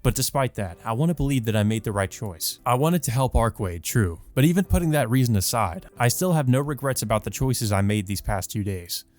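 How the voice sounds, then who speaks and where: A man's voice: mid-range voice, animated, a little fast, few pauses, a little mellow. A man reading first-person visual novel narration aloud as a let's player. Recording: music behind the voice. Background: music.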